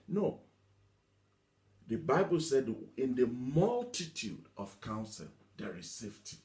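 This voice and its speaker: A man's voice: medium pitch, very animated, slow pace, darkish tone. A man preaching a motivational teaching sermon, emphatic, repeating himself and asking rhetorical questions. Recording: echoey room.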